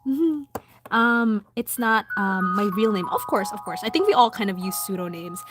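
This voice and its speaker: A young woman with a Filipino accent chatting casually with her livestream viewers while drawing, soft-spoken with lots of ums. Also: medium pitch, expressive, moderate pace. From Philippines. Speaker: livestream host. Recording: background music. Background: music.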